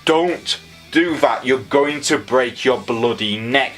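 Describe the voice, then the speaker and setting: A man with a Yorkshire accent giving a chatty, enthusiastic solo review of a wrestling show, often jumping up in pitch on his opinions. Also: takes it slowly, fairly bright tone, faint music behind. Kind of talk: casual solo review commentary.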